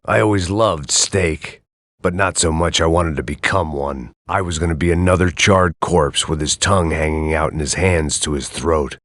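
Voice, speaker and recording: An actor playing a weary, cynical middle-aged male bodyguard, narrating his thoughts in a dry, sardonic deadpan. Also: very deep voice, dry recording. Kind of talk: video game inner monologue narration.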